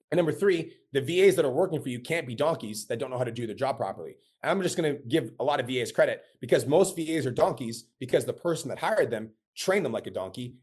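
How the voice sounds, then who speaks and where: A man's voice: mid-range voice, slightly rough. A man teaching a live coaching webinar, blunt and casual with frequent profanity. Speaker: business coach.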